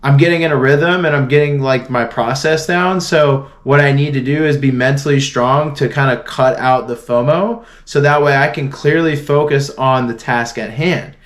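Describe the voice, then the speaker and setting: A young man from Florida speaks to his viewers in a relaxed, earnest way. He rambles a little, restarting sentences and dropping fillers as he works through his thoughts, with a voice of middling pitch. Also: normal speed, hardly any pauses. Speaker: vlogger. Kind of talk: casual motivational talk to camera.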